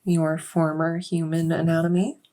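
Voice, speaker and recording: A woman playing a gentle fae doctor in a soft-spoken ASMR medical roleplay, reassuring and unhurried, sometimes slipping toward a whisper. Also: sits low, level tone, natural voice. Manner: unhurried, reassuring, hesitant pauses. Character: gentle female fae doctor with buggy wings and scaly tail. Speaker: audio roleplay performer.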